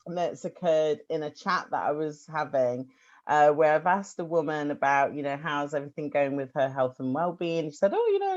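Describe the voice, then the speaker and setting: A woman's voice: mid-range voice, a little mellow, highs cut off. A woman hosting a podcast interview, chatty and enthusiastic. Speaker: podcast host.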